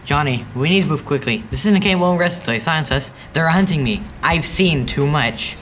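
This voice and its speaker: A man's voice: fairly high pitch, lively intonation, fairly quick, fairly bright tone, slight background noise. A young man playing a frightened informant on the run in a noir radio drama, urgent and anxious. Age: young adult. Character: hunted, fearful male informant pleading for help. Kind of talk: 1940s radio noir acting.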